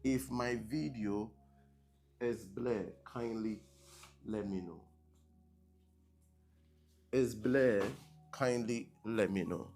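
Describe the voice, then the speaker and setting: A man preaching on a live stream who repeats his blessings and keeps asking viewers whether he can be heard and seen clearly. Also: lowish voice, extremely slow, pauses often, darkish tone, faint music behind. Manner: repetitive, checking with online viewers. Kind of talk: live-streamed sermon opening. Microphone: laptop mic on a live stream.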